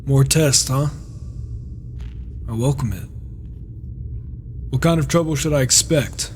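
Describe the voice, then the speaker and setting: A man playing a proud, reclusive warrior in a fantasy game roleplay, gruff and stoic, with slow, deliberate delivery. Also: medium pitch, slight background noise, lightly acted. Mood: gruff confidence. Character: brooding, proud, reclusive male warrior and lone swordsman. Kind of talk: dramatic in-character narration and roleplay.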